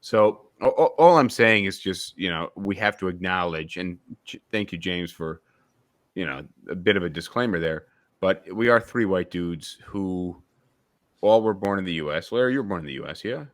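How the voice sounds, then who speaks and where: A man's voice: sits low, animated, moderate pace. A young man from upstate New York hosting a casual podcast discussion, rambling with many restarts and filler phrases. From suburbs of Albany, New York. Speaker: podcast host.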